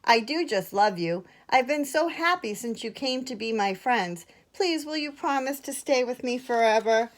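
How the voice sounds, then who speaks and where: A woman's voice: medium pitch, sing-song, normal speed, hardly any pauses. A woman voicing an emotional little girl, exclaiming and earnest, in a children's storybook. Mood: sorry, affectionate. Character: little girl, lonely, emotional, defiant yet affectionate.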